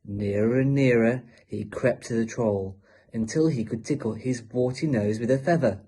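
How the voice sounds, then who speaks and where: A man's voice: medium pitch, normal speed, warm tone, breathy edge. A male teacher reading a children's story aloud as a storybook narrator.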